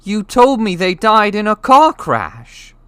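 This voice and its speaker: A man voicing a bewildered young boy in a storybook reading, earnest and incredulous, with halting questions. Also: sits high, very animated, a bit bright, clean tone, dry recording, studio quality, voice pushed up, heavily acted. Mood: shocked, urgent. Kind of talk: storybook character voice in an audiobook reading.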